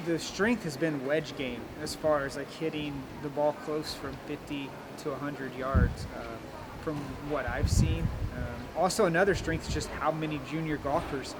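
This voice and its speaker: Brief: male college coach, plain and encouraging, a bit higher pitched, taped outdoors near traffic. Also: normal speed. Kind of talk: interview answers. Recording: noisy outdoor recording.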